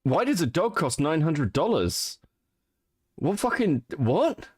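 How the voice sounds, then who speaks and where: A male stream host reacts to clips in open disbelief, swearing and breaking into laughter often. His voice swings widely in pitch, and the recording is dry and close. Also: very animated, slow, slightly rough, dry recording. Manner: incredulous and profane, laughs often.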